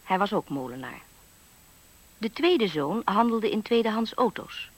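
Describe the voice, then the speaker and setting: A woman narrating a fairy tale for a 1970s radio play in a composed, measured storytelling manner. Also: medium pitch, sing-song.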